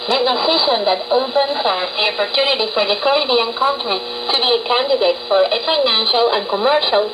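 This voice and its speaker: Very noisy shortwave reception carries a woman's fairly high voice. She is a radio reporter reading a scripted news report.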